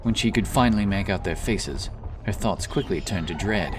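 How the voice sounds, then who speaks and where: A man's voice: sits low, normal speed, noisy background. A man narrating a fantasy tale in a solemn, dramatic, scripted storytelling style. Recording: music under the narration.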